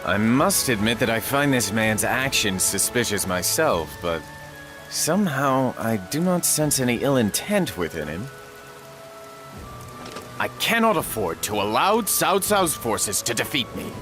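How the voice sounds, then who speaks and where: A measured, thoughtful lord. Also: male voice, medium pitch, sing-song. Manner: measured, deliberate. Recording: game audio with loud music.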